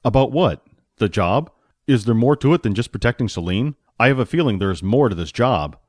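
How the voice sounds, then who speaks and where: A man's voice: mid-range voice, lively intonation, fairly quick, no echo, studio quality, natural voice. A man voicing a big, naive, curious barbarian in a fantasy audiobook, with simple and earnest questioning.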